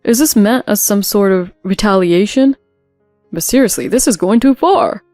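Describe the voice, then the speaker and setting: A loud, aggressive middle-aged husband rants and scolds, as a woman narrator puts him on. The voice is mellow and a touch darker than usual, with a dry close sound. Soft music plays in the background. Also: a little high, normal speed, no room sound, lightly acted. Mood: angry.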